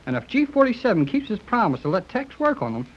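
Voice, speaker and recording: Excitable boyish young radio-serial sidekick. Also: male voice, high voice, fast. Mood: scheming. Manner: folksy, exclamatory slang. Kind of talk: 1930s radio serial acting. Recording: dull old 1930s radio recording.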